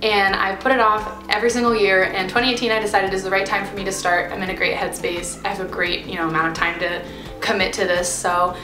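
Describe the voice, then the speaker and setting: A woman's voice: medium pitch, fairly even intonation, fast. A young woman in her twenties chatting casually to camera as a vlogger, bubbly and rambling with fillers, sitting in her bed at home. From Pennsylvania, USA. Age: 20s. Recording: roomy echo with music behind. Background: music.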